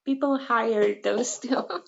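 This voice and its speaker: High-pitched, very slow-talking woman. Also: vocal fry, dull audio. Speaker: interviewer. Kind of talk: casual interview chat.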